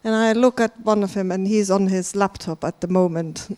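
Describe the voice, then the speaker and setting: A woman's voice: medium pitch, normal speed, darkish tone, clean tone. A woman from Germany hosting a conference, chatting with the audience and laughing often. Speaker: conference host. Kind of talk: conference stage address. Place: large conference hall.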